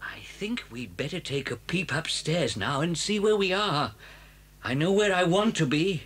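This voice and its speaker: A clever, gentle father fox in a children's story tape. His husky voice rises and falls freely, cautious but full of hope for his family. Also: fairly high pitch, lively intonation, measured pace, exaggerated voice. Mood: determined, tender. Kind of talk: children's audio story dramatisation.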